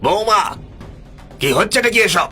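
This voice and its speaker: An actor playing a stern, wary elder village uncle in a horror cartoon, speaking emphatically. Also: male voice, sits high, animated, unhurried, pushed and bright, heavily acted. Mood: angry. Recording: background music throughout.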